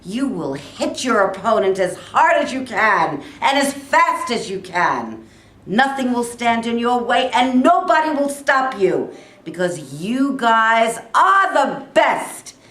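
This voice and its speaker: A woman playing a fiery middle-aged male high school football coach, giving a commanding pep talk in a staged reading. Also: big pitch swings, slow pace, fairly bright tone, exaggerated voice. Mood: rousing, fierce. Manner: emphatic, commanding, rousing. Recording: echoey live room.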